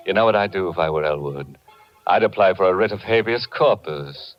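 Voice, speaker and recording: A deep-voiced American man hosts an old radio mystery with wry theatrical flair. Music plays faintly around his muffled voice. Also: a little fast. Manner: sardonic, punning, theatrical. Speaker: mystery show host. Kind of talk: scripted 1940s radio hosting. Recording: muffled 1940s radio recording.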